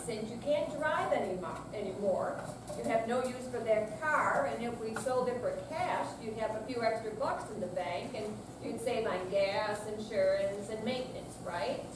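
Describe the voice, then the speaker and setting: A woman's voice: a little high, moderate pace, lightly acted. A woman playing a worried, firm grown daughter of elderly parents in a staged reading. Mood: gently reasoning. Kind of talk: staged readers theater reading. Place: senior center stage reading, far from mic. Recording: echoey noisy hall, distant mic.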